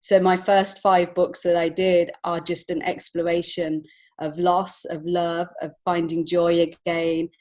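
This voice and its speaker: Female podcast guest, fairly low voice. Emotionally open, informal personal storyteller, an author talking about her own life. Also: flat delivery, unhurried, darkish tone. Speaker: podcast guest, children's book author and illustrator.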